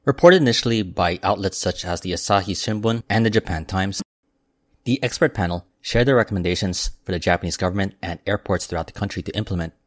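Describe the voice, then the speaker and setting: A man hosting an aviation news channel, reading a scripted report in a steady, measured way as a voice-over. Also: deep voice, no echo, clean studio sound. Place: voice-over, not recorded in the pictured airport.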